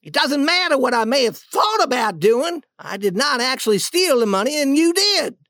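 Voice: high-pitched, sing-song, normal speed, fairly bright tone, dry recording, clean studio sound, voice pushed up, exaggerated voice. A narrator voicing a scornful, hot-tempered con-man duke in a storybook tale, scolding and accusing with heavy emphasis.

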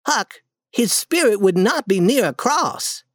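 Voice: medium pitch, very animated, a little slow, fairly bright tone, no room sound, clean studio sound, pitched above the performer's own voice. A storybook reader voicing a bold, eager young boy adventurer in a raised voice, confident and persuasive.

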